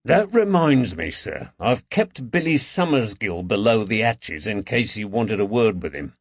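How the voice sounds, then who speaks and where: Man reading constable, wry, blunt, lively intonation. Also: on the low side, unhurried, gravelly, no echo, highs cut off, heavily acted. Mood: earnest.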